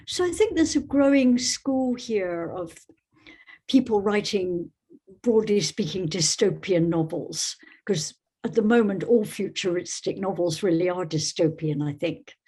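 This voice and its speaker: Woman, mellow tone, slightly raspy, literary guest. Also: medium pitch, animated, unhurried. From Scotland. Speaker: guest novelist. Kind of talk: thoughtful literary discussion and reading aloud.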